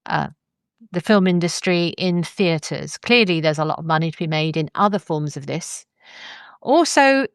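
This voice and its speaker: A woman's voice: lowish voice, clean tone, no echo, studio quality. A woman hosting a podcast in a conversational presenting style. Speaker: podcast host.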